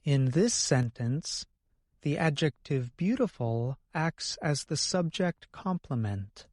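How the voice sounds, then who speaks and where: A man's voice: on the high side, expressive, slow, darkish tone, no echo, studio quality. A man co-hosting an educational podcast, reading scripted explanations in a careful, explanatory manner. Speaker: co-host of an English lesson podcast.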